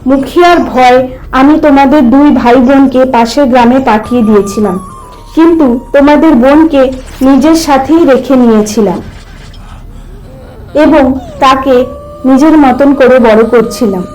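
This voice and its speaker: Woman as a high-voiced old tantric priest. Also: measured pace, pauses often, darkish tone, faint music behind, poor audio. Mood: reassuring, explaining. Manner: explanatory, reassuring. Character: old male tantric priest, reassuring village grandfather. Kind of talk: animated story character dialogue.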